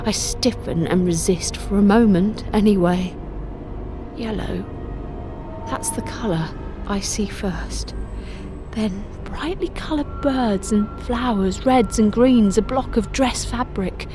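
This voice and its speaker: A woman reading a first-person audio drama as narrator, expressive and suspenseful. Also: medium pitch, takes it slowly. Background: music.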